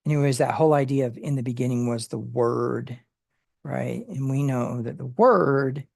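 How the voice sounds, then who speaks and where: A Bible study teacher who explains word meanings in a halting way, with many fillers and restarts. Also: mid-range voice, creaky, a little mellow, dry recording, clean studio sound.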